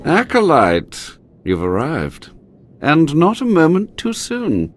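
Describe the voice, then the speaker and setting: A man's voice: fairly high pitch, wildly swooping pitch, slow pace, heavily acted. An actor playing a haughty, scheming Sith inquisitor in a video game, theatrical and condescending, drawing out his words. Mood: haughty, commanding. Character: haughty, scheming male Sith inquisitor, self-preserving overseer of trials.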